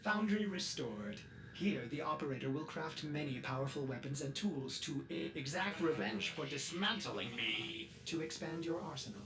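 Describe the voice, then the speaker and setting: An actor voicing a fussy, insecure ship computer AI in a video game, formal and theatrical with sarcastic asides. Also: male voice, fairly high pitch, lively intonation, moderate pace, background noise, lightly acted. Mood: eager, wounded. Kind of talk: scripted video game acting.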